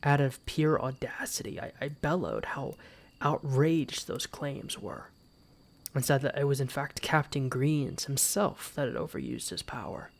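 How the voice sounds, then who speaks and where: A man playing an embittered exiled knight in a fantasy audio drama, speaking formally and solemnly with a gravelly voice and dramatic pauses. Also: medium pitch, big pitch swings, heavily acted. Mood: indignant. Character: embittered exiled knight, wounded pride, grieving for his mother. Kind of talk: first-person fantasy roleplay audio drama.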